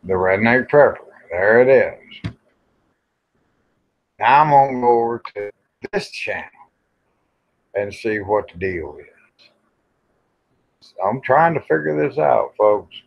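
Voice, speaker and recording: A man's voice: mid-range voice, animated, slow, creaky, pauses often. A man, hosting a casual, rambling livestream in a folksy, muttering way on dull, lo-fi audio. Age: 50s. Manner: folksy, muttering to himself while browsing. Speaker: livestream host. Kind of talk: casual rambling live chat.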